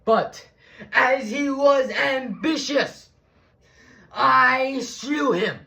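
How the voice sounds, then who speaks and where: Man acting solemn Roman senator, stage Shakespeare, very slow declamation, dramatic swells, echoey room. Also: on the high side, lively intonation, pitched above the performer's own voice. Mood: impassioned. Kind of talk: theatrical Shakespearean monologue.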